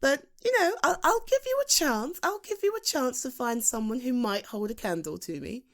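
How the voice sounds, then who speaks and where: A British woman playing a playful, affectionate best friend in an intimate audio roleplay, speaking in a halting, giggly, conversational way. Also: sits high, animated. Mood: teasing, playful. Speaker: ASMR roleplay voice performer. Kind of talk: intimate, naturalistic audio roleplay addressed to the listener.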